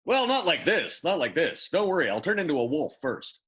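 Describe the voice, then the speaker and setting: A man's voice: fairly high pitch, very animated, fairly quick, fairly bright tone, breathy edge, heavily acted. A man voicing an offhand, worldly male werewolf in an improvised radio comedy. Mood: offhand, explanatory. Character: gruff, offhand male werewolf who breaks into song.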